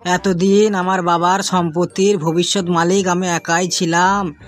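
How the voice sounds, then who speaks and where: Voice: mid-range voice, flat delivery, a little slow, soft background music, natural voice. A performer voicing a cocky, mischievous schoolboy in a comic cartoon, boastful and recitational, with a Bengali accent. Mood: matter-of-fact excuses.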